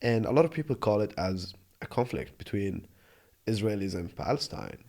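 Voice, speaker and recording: A man's voice: lowish voice, lively intonation, moderate pace, warm tone. A man in his twenties from Jordan, telling his story in an earnest, reflective way with many restarts. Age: 20s. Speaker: interview guest. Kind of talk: casual conversational interview. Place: Australia.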